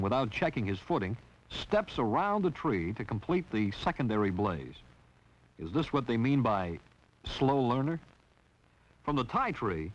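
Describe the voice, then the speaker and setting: A man narrating a 1960s training film in a wry, teasing scripted style. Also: medium pitch. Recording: dull lo-fi 1960s film soundtrack.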